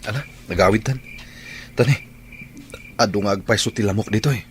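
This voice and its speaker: A man's voice: lowish voice, brisk, very noisy, natural voice. A man playing a lovesick, stubborn young construction worker in a radio drama, earnest and plaintive. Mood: earnest, lovestruck.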